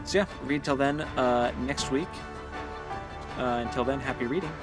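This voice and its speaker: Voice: medium pitch, moderate pace, over music. A playful, teasing co-host of a book podcast, chatting casually. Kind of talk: casual book-club chat.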